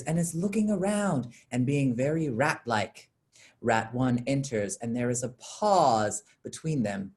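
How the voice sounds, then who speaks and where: Medium-pitched reader of stage directions. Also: darkish tone. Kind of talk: scripted narration in a play reading.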